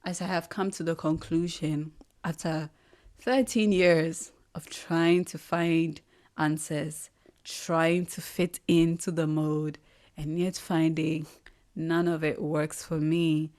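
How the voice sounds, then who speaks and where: A young woman with a Ghanaian accent hosting a solo podcast, talking in a thoughtful, earnest way and pausing to find her words. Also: deep voice, level tone, darkish tone. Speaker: podcast host. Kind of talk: reflective solo podcast monologue.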